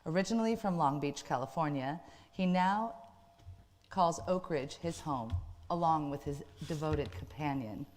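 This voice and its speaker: A woman's voice: low-pitched, normal speed, a little mellow. A woman hosting a variety show, introducing segments and guests in a scripted manner. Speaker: show host. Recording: long hall-like reverb.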